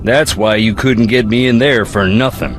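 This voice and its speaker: Man acting a brooding, gruff man. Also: on the low side, normal speed, a little hiss or hum, close to an everyday voice. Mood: wistful. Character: guarded, brooding Southern man with a troubled past. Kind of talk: video game voice acting. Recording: music behind.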